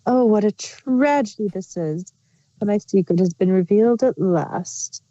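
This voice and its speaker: A woman's voice: medium pitch, unhurried, warm tone, close to an everyday voice. A woman voicing a melodramatic, self-pitying bug-like changeling queen in a theatrical, mock-tragic manner for a fan fiction story. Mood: theatrical lament. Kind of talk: acted fan fiction reading. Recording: roomy, slightly dull microphone sound.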